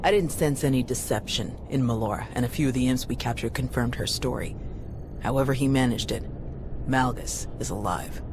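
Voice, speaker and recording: An actor playing a humble, resolute knightly warrior in a video game cutscene, speaking sincerely over background noise. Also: male voice, medium pitch, fairly quick, natural voice. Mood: concerned. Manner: sincere, earnest. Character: earnest, humble Jedi warrior, quietly resolute.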